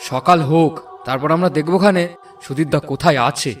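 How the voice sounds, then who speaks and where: A man's voice: a little high, a little fast, distant mic, faint music behind, lightly acted. A man playing a cautious village neighbour in a horror cartoon, sounding slightly off the microphone. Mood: concerned, warning. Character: cautious village neighbour, like a younger brother-in-law. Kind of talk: Bengali cartoon voice acting.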